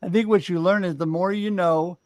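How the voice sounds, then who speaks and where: A man's voice: high voice, steady tone, fairly quick, a little mellow, no room sound. A man hosting a talk show over a video call, upbeat and encouraging as he wraps up with his guests. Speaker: show host. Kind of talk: casual interview hosting.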